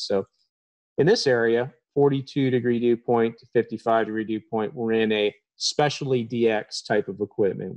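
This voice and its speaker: A man's voice: medium pitch, a little mellow, dull audio. A man in his thirties giving a technical presentation as an HVAC trainer, talking plainly with frequent ums, recorded over a webcam.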